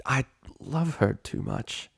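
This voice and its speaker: A man's voice: medium pitch, animated, slow, gravelly, husky, dry recording, studio quality, voice pushed up, heavily acted. A man voicing a brash, loyal young female pegasus pony in a story reading. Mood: shy.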